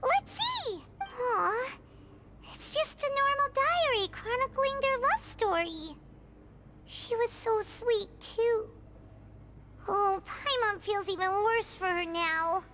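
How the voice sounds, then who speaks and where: A woman voicing a small, childlike, excitable fairy companion in a video game, speaking in exclamations. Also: extremely high pitch, slow pace, a little hiss or hum, heavily acted. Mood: tender. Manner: speaks of herself in third person, exclaiming. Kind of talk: video game character acting. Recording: game music behind the voice.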